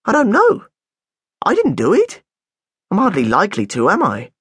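Man voicing a young schoolboy, defensive, rambling, earnest, husky, lively pitch. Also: normal speed, dry recording, clean studio sound, exaggerated voice. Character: flustered schoolboy protesting his innocence.

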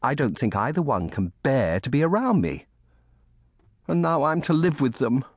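Storybook orphan boy, played by a man: frail, stubborn, stammering, high and animated, close dry sound. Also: normal speed, dry recording. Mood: hurt, tearful. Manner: stammering, repeating words in protest. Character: frail, upset, stubborn orphaned young boy of genteel household.

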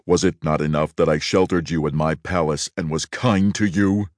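Guy doing a deep rough monster voice, angry beast from a fairy tale, real low. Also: steady tone, normal speed, no echo, clean studio sound. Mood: furious. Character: furious monstrous male beast, menacing. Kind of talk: storybook reading.

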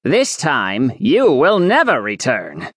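A man's voice: medium pitch, wildly swooping pitch, takes it slowly, a bit bright, slightly rough, no echo, studio quality, full character voice. A man voicing a vain, spiteful old male clockwork sorcerer who hisses his threats imperiously. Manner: hissing, imperious.

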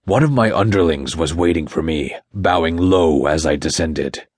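A man's voice: rumbling low, normal speed, a little mellow, slightly rough, no echo, studio quality. A man voicing a pompous, menacing Satan, wrathful king of demons, in a dramatic first-person audiobook narration. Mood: haughty.

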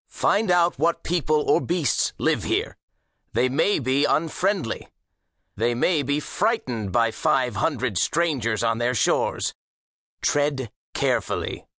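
An actor playing a bold, wary ancient Greek sea captain in an audiobook, with a commanding, declamatory manner. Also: male voice, medium pitch, expressive, slow pace, pushed and bright, no room sound, clean studio sound. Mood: cautioning. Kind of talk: full-cast audiobook acting.

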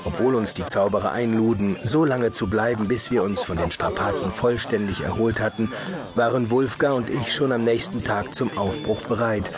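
An actor playing a grave, determined dark elf hero who narrates a German fantasy audio drama in a dramatic scripted style. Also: male voice, on the low side, normal speed, music in the background, close to an everyday voice. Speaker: narrator and lead character.

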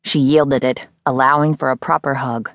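A woman's voice: a bass voice, steady tone, moderate pace, no echo. A woman reading a first-person novel as scripted audiobook narration.

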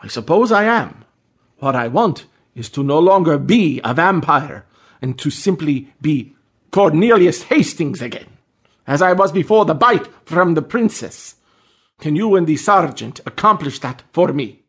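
A courtly vampire in a radio play. The voice is on the high side and husky, with precise, formal phrasing. He sounds world-weary and full of longing to be mortal again. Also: lively intonation, measured pace, fairly bright tone, exaggerated voice. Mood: earnest, pleading.

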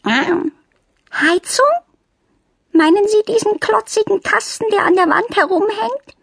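A pompous, self-pitying aristocratic cat lady from a children's audiobook. The voice is extremely high and creaky, and it draws out its fussy, genteel phrases slowly. It sounds affected and easily offended. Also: no room sound, studio quality, heavily acted. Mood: disdainful. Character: haughty, fussy, self-pitying female cat of noble airs.

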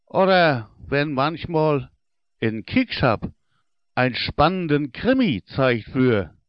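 Very slow-talking man with Low German accent. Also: big pitch swings, no echo, highs cut off. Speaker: audiobook narrator. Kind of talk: scripted fairy tale narration for children.

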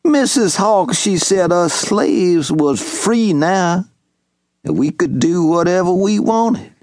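Brief: man doing old Southern servant, loyal, humble, raised high, slow earnest drawl, storybook read. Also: animated, a little mellow, no room sound, studio quality. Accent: Southern US rural dialect. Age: older. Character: elderly male farm servant, loyal and humble.

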